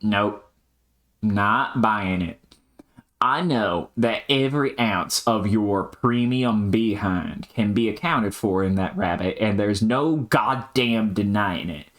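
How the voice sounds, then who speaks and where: Aloof AI autoresponder, played by a man: low, unhurried, deadpan, brusque, pedantic, amateur drama. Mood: dry, unmoved. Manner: brusque, flat, wordy and technical. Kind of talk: amateur scripted character dialogue.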